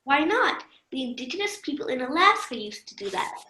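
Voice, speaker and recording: A woman's voice: sits high, sing-song, brisk, bright, forceful tone, reverberant, slight background noise, exaggerated voice. A woman playing a scheming, matter-of-fact teenage girl camper in an amateur radio play. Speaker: student radio play performer.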